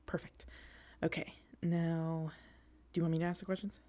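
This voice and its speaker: A woman acting an excitable, reassuring young girlfriend on a date in an audio roleplay, talking casually to the listener with hesitant asides and eager repetition. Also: low-pitched, moderate pace, lightly acted. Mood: casual, curious.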